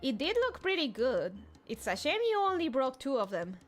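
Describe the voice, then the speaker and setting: Young woman, high voice, lively swooping pitch, playful jokey streamer, soft music behind. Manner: playful, jokey, quick asides. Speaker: VTuber streamer. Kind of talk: casual game readalong commentary.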